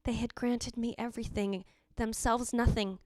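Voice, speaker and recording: A woman's voice: on the high side, fairly even intonation, a little mellow, no echo, natural voice. Woman playing a brooding ghost wife, dry sound. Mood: bitter, reflective. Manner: literary, deliberate, dramatic phrasing. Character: jealous, brooding ghost of a dead wife, narrating. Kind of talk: old-time radio drama narration.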